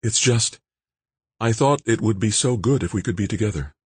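A man's voice: on the low side, normal speed, breathy edge, dry recording, pitched above the performer's own voice. A man voicing a worried grown woman who pleads earnestly, in an audiobook. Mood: pleading. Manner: anxious, pleading.

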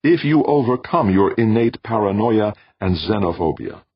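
A man voicing a calm, ancient alien being who speaks in a flat, intoning way. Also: lowish voice, moderate pace, slightly rough, dry recording, pitched above the performer's own voice. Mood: solemn. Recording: dull dry recording.

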